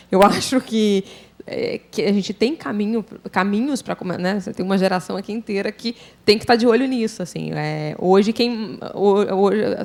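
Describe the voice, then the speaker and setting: Brazilian woman, halting and reflective panelist. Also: mid-range voice, gravelly, a little mellow. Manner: halting, reflective, peppered with tag questions. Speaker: journalist panelist. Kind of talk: panel discussion, informal opinion.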